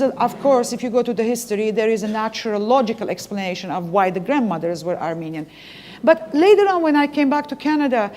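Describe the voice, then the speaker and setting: A woman from Lebanon, speaking as an interviewer at a live public event. Her voice sits a little high and she talks in an earnest, reflective way, often pausing as she searches for the right words. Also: a little mellow.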